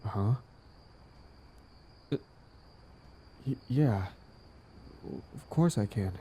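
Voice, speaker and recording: A man acting out a smug but smitten villain on a date, smooth-talking and casual, in an intimate audio roleplay. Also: medium pitch, animated, drawn-out words, mellow, full character voice. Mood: flustered, hesitant. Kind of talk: intimate scripted boyfriend-style audio roleplay.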